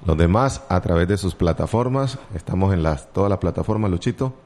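A man with a Colombian accent talks into a radio studio microphone, his voice sitting low. He chats loosely with listeners and drops teasing, joking asides as he goes. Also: lively intonation, fairly quick, a little mellow. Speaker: radio host. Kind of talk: casual morning radio chat.

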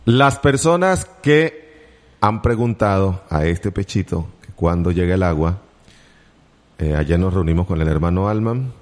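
A man with a Colombian accent talks into a radio studio microphone, his voice sitting low. He chats loosely with listeners and drops teasing, joking asides as he goes. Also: a little mellow. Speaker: radio host. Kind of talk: casual morning radio chat.

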